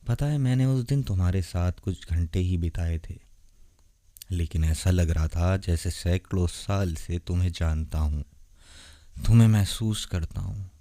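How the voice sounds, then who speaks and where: Man playing a deep-voiced, tender vampire boyfriend. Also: no echo, clean studio sound. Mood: affectionate. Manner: soft, intimate, gentle, unhurried. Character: tender, lonely centuries-old vampire boyfriend, male. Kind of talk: romantic ASMR roleplay, addressed to the listener.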